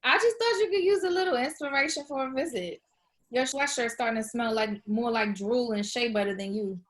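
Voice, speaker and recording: A woman's voice: on the high side, brisk, close to an everyday voice. A woman playing an anxious, broke and dramatic young woman with a sarcastic, slangy manner in a comedy table read. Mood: flat, disappointed.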